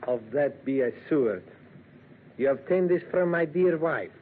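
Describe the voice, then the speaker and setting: An actor playing a small, jealous Greek husband with menacing, florid, formal phrasing in a 1940s radio drama. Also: male voice, on the high side, lively intonation, unhurried. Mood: suave menace. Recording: tinny, noisy old radio broadcast.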